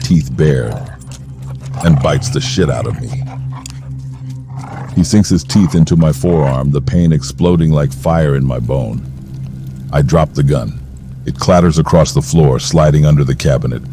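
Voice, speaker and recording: Audio drama villain, male actor: extremely deep, cold, commanding, with clear music behind. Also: warm tone. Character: Trey, menacing, ruthless armed male villain narrating his arson. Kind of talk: first-person audio drama narration with dialogue.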